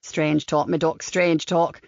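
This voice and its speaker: A woman's voice: level tone, vocal fry, no room sound, full character voice. Woman doing an old Lincolnshire countryman. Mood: folksy. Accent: Lincolnshire dialect. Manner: slow broad dialect, lower voice. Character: old countryman gardener, chatty and kindly. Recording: slightly dull dry recording.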